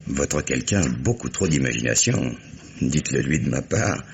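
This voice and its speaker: An actor playing a touchy crime suspect in a French radio mystery, answering with indignant, evasive, sarcastic politeness. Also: male voice, rumbling low, expressive, normal speed, vocal fry, heavily acted. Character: male crime suspect, touchy, sardonic former swindler. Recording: faintly noisy old radio recording.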